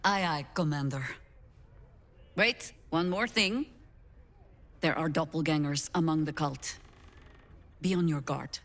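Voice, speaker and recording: A woman's voice: deep voice, slow, long pauses, a bit bright, in a large hall, heavily acted. A woman playing a proud, weary old warrior mother in a video game, terse, grave and dry. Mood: dry, fond. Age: older. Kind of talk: video game dramatic acting.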